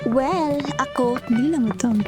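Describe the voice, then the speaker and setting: Pert, chatty office friend played by a woman, Filipino accent, high pitch, strong music bed. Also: takes it slowly. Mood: sassy. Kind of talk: Filipino audio drama acting. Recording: loud music over the voice.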